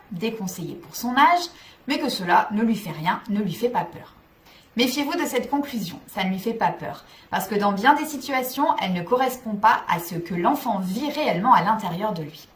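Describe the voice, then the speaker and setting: A woman with a fairly high voice and very lively intonation delivers scripted advice to parents. She is didactic and structured, explaining each point like a psychologist speaking directly to her listeners. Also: slight background noise.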